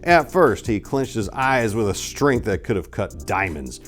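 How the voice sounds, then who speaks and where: A man's voice: on the low side, big pitch swings, a little slow, soft background music. A man hosting and narrating scripted dramatic readings in a theatrical, emphatic way. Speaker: channel host and story narrator.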